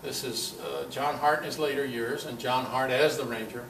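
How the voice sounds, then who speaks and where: An older man giving an informal talk at a club meeting, telling personal anecdotes in a halting, conversational way. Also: mid-range voice, fairly even intonation, creaky, a bit bright. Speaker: guest speaker at a club meeting. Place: meeting room, in front of an audience. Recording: echoey, noisy room recording from far off.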